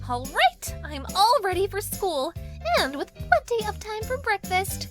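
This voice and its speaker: Ditzy whiny teen anime girl, super high n swoopy, music behind. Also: big pitch swings, measured pace, exaggerated voice. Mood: determined, cheery. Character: whiny, ditzy, childish teenage schoolgirl heroine. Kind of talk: parody anime audio drama.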